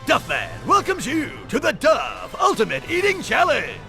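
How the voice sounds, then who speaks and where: A man's voice: extremely high pitch, wildly swooping pitch, normal speed, creaky, pushed and bright, faint music behind, exaggerated voice. A man voicing a hammy, bombastic cartoon mascot announcer, theatrical and grandiose, in a game cutscene. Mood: showy. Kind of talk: animated game contest announcing.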